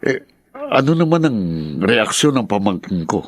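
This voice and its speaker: Sceptical uncle for radio drama, medium pitch, questioning and reproachful delivery. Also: male voice, very animated, normal speed. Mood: exasperated. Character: sceptical, exasperated uncle challenging his older brother. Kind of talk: Tagalog radio drama acting.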